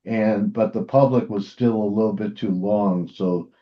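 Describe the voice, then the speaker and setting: A man's voice: lowish voice, fairly even intonation, unhurried, highs cut off. A man hosting a trading interview, casually discussing markets with his guest.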